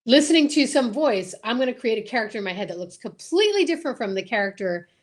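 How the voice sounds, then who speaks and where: A woman's voice: fairly high pitch, sing-song, fast talker. A woman hosting a show in casual livestream chat, talking in an enthusiastic, gushing way. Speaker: show host.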